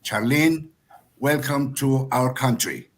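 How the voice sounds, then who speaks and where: A man hosting an event, introducing a guest in careful, English. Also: mid-range voice. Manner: slow, deliberate, non-native English. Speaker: event host. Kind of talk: introducing a speaker.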